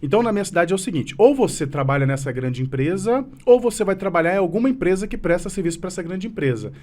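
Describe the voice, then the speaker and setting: A man in his late thirties with a mineiro Brazilian Portuguese accent that has softened over the years. His voice sits fairly high for a man. He talks earnestly and at length, restarting phrases and leaning on fillers as he answers. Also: lively intonation, quick pace. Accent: mineiro Brazilian Portuguese, fading. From Minas Gerais, Brazil. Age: 30s. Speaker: business association president, guest. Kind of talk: casual interview conversation.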